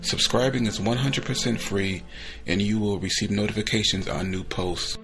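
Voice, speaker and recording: A man's voice: lowish voice, moderate pace, over music. A man hosting a podcast, giving a casual spoken introduction. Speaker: podcast host.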